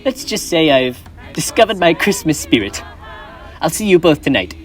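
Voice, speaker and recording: A man's voice: a little high, big pitch swings, moderate pace, soft background music. A teenage boy playing a reformed, now generous old miser in a school stage play, speaking earnestly with formal old-fashioned phrasing. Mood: kind. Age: teens.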